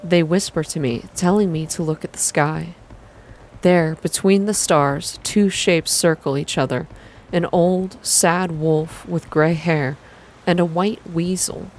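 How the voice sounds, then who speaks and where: A woman reading a story aloud in a calm, slow, hushed ASMR style. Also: on the low side, a little hiss or hum, poor audio. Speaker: reader of a visual novel for an online channel.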